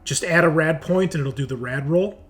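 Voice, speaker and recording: A man's voice: a little high, normal speed. A man casually narrating a tabletop roleplaying game as game master. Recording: noticeable background noise.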